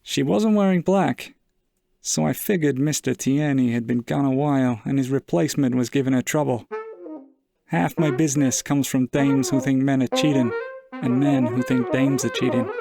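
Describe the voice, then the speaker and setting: An actor playing a world-weary, wry 1940s private investigator in a hardboiled noir audio drama, deadpan and measured. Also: male voice, medium pitch, gravelly, natural voice. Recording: saxophone music under the voice.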